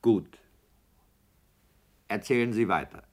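An actor playing a sceptical, fussy radio drama director with formal, critical phrasing in a 1960s radio play. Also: male voice, fairly low pitch, takes it slowly, slightly rough. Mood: grudging. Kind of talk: 1960 German radio play acting.

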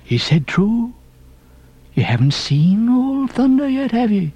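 A man's voice: on the high side, lively intonation, takes it slowly, darkish tone, slightly rough, dry recording, full character voice. A man voicing a ragged old sailor stranger in an audiobook, cryptic and derisive, with emphatic archaic seafaring talk. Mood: insanely earnest. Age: older. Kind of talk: audiobook character reading.

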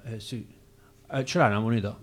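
A man's voice: on the low side, steady tone, fairly quick, natural voice. A man playing a half-mad Roman street vagrant in a radio sketch, begging and rambling with stammered, repeated phrases. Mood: muddled, subdued. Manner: rambling, repetitive, stammering restarts.

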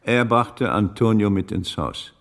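An actor playing a shrewd, probing magistrate's investigator in a German radio play, with formal, old-fashioned questioning. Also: male voice, lowish voice, a little slow, fairly bright tone. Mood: probing, composed. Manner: formal, archaic, questioning address.

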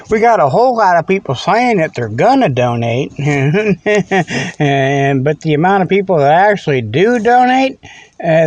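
A man's voice: high voice, no room sound. A man hosting a comedy talk segment, rambling folksy and laughing often while he talks into a tablet in a parked car. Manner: folksy, laughs often, keeps saying "okay?". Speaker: host of a comedy podcast segment. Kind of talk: rambling casual monologue. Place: in a parked vehicle in a parking lot.

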